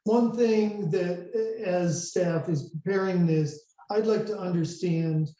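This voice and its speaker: A board member, a man, commenting politely and deliberately in a public meeting. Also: on the high side, warm tone. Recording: roomy echoing audio.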